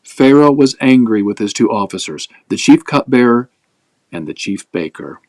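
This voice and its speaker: A man's voice: mid-range voice, animated, moderate pace, darkish tone, no room sound. Man reading scripture aloud, dry sound. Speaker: host of a daily Bible reading.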